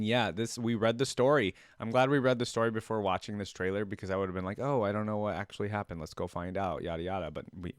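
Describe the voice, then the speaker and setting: A man's voice: on the low side, smooth, no echo, studio quality. A man hosting a reaction video in a casual, off-the-cuff way, rambling as he thinks aloud. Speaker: host of a reaction video channel.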